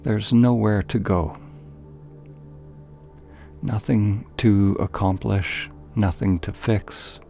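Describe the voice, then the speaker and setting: A man narrating a guided meditation in a calm, gentle, deliberate way, leaving pauses between phrases. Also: on the low side, vocal fry, soft background music.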